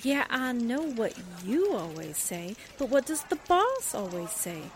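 A girlish, fretting office sidekick in an old radio serial. Her high voice swoops around as she draws out her slangy lines. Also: big pitch swings, unhurried, slight background noise. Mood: teasing. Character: perky girlish newsroom sidekick, fretting and wisecracking. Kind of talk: 1940s radio drama acting.

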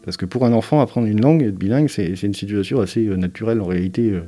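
A man giving an interview as a parent, speaking in a hesitant, thoughtful way with frequent pauses and fillers. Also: on the low side, dark tone, soft background music. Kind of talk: reflective interview testimony.

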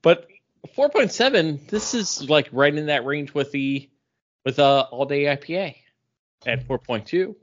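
A man's voice: fairly high pitch, expressive, unhurried. Man chatting casually, slightly dull lo-fi sound. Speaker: podcast co-host. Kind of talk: casual pop culture and beer chat. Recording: dull, lo-fi podcast audio.

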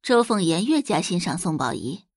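A woman's voice: fairly low pitch, big pitch swings, moderate pace, breathy edge, no echo, clean studio sound. A woman narrating a novel as an audiobook, in a dramatic scripted storytelling style.

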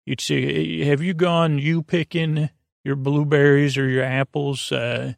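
Creaky-voiced man rambling a bedtime monologue. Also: a little high, flat delivery, no echo, studio quality. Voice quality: creaky, gravelly. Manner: meandering, halting, often trailing off mid-thought. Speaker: podcast host. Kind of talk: rambling bedtime monologue to help listeners sleep.

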